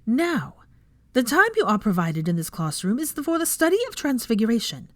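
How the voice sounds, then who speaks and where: A woman performs a young female professor in a dramatic story reading, furious and fed up. Her voice rises high and loud as she scolds, sharp, scathing and indignant, in a close, dry recording. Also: sing-song, moderate pace, no room sound. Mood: scathing, stern. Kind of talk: dramatic fan fiction character reading.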